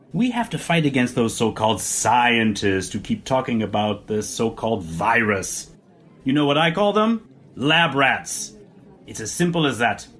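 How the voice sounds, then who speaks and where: An actor playing a bombastic demagogue president, giving a pompous, repetitive, emphatic rally speech in an audio drama. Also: male voice, medium pitch.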